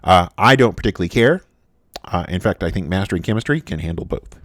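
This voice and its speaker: Deep-voiced man teaching chemistry. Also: lively intonation, dry recording, clean studio sound. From United States. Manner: halting, frequent "uh" fillers and restarts. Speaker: chemistry instructor. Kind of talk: recorded lecture.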